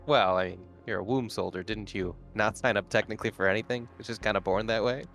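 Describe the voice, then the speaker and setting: Male roleplaying gamer, fairly deep voice, relaxed table talk with music underneath. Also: quick pace. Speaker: player in a tabletop roleplaying game.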